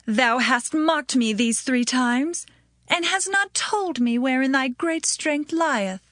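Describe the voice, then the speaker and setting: An actress playing a seductive, insistent temptress in a Bible audio drama, pleading in archaic language. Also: female voice, high voice, expressive, takes it slowly, a bit bright, no echo, clean studio sound, exaggerated voice. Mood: reproachful.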